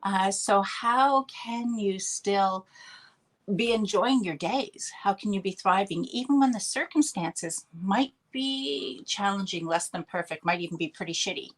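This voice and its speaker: A woman's voice: mid-range voice, a little slow, low-quality recording. A middle-aged woman with a Canadian accent, chatting as a podcast guest in an upbeat, laughing way. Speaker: podcast guest, healer and mentor. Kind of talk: casual interview chat.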